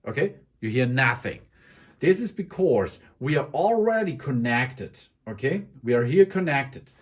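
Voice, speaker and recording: A man giving a hesitant tech tutorial, pausing often with ums and restarts. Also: mid-range voice, very animated. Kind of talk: tutorial explanation. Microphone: condenser microphone into audio interface.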